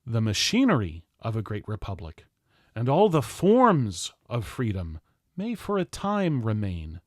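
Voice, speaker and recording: Man playing loud, impassioned 19th-century general orating. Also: mid-range voice, no room sound, clean studio sound. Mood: grave warning. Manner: loud, emphatic, solemn, rising delivery. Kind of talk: declamatory political oratory, formal speech reading.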